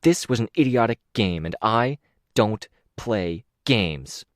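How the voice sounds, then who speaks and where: Cold, threatening young woman, raised pitch, a male narrator doing her, dry read. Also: animated, takes it slowly, no echo. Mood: menacing. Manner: hard, threatening, clipped. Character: cold menacing young woman, former killer.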